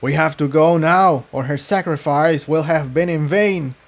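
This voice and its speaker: A man's voice: fairly high pitch, unhurried, voice pushed up. A man voicing a determined male unicorn pony in a storybook reading, earnest and urgent. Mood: grave urgency.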